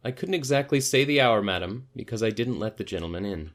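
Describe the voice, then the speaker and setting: A man acts out a parlour maid in a storybook reading, her pitch lifted well up. She is deferential and stiff, with a grudging, quietly superior air. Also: animated, fast. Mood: patient, superior. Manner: deferential, prim, grudging in answers. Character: stiff, respectful parlour maid, a woman, doggedly self-righteous. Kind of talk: audiobook character voice.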